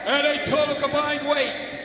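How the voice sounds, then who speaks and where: A man shouting formal introductions as a wrestling ring announcer. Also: extremely high pitch, level tone, measured pace, background noise. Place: indoor wrestling arena. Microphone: handheld through PA. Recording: echoey hall PA, roaring crowd.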